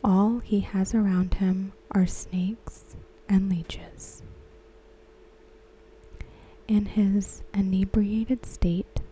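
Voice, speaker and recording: A woman speaking in a soft unvoiced whisper for an ASMR recap, slow and even throughout. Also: mid-range voice, slight background noise, low-quality recording. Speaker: ASMR creator.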